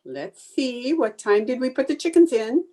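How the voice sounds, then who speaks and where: A woman's voice: high voice, lively intonation, normal speed, warm tone. A woman playing a confident, scatterbrained housewife in breezy old-time radio comedy style, recorded at home over a video call. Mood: confident, daffy.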